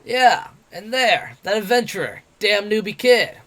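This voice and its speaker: A man voicing a brash, mocking, drunk male wolf-man adventurer, loud and jeering. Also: sits high, sing-song, unhurried, pitched above the performer's own voice, exaggerated voice.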